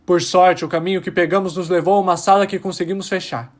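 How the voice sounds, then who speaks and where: An actor playing an embittered alien rebel miner, testifying with impassioned, dramatic pauses in an audio drama. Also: male voice, high voice, level tone, natural voice. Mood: grave, urgent. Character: embittered male alien rebel miner testifying about his oppressed people.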